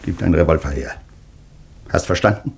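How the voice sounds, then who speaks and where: An actor playing a gruff, domineering older army colonel in a German radio drama, barking curt commands. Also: male voice, deep voice, a little fast, a little mellow, full character voice. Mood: gruff, urgent.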